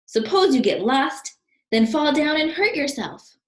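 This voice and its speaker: A woman's voice: sits high, sing-song, a little slow, voice pushed up. A woman voicing an anxious, fussy male character who loves lists in a children's storybook, earnest and precise. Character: Wallace, anxious fussy male list-lover. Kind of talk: children's storybook read-aloud. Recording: roomy, echoing sound.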